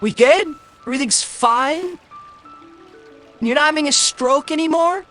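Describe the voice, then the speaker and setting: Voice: high-pitched, a little slow, a bit bright. A game streamer talking casually on a livestream in a blunt, exasperated, grumbling way, through a voice changer. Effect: possible voice changer. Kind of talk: casual livestream gaming commentary. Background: game music.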